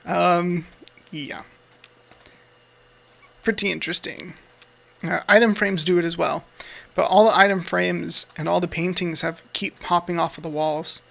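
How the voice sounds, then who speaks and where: A man's voice: high voice, fairly even intonation, measured pace, creaky, pauses often, bright, forceful tone. An American man giving casual, off-the-cuff gameplay commentary in an easygoing, rambling way. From United States. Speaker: Let's Play host.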